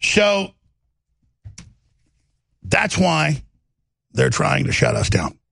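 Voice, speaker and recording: A man with a gravelly voice and a Texas twang, delivering impassioned political commentary in a confident, aggressive, emphatic way, piling up lists and rhetorical questions like a talk show host. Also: on the high side, wildly swooping pitch, extremely slow, pushed and bright, no echo. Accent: Texan.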